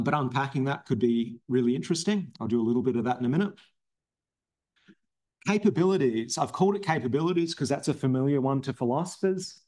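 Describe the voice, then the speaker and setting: Halting man giving a seminar. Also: medium pitch, darkish tone. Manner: halting, with frequent ums and restarts, explanatory. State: voice strained from recent voice loss. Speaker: philosophy researcher presenting a seminar talk. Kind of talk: academic seminar presentation.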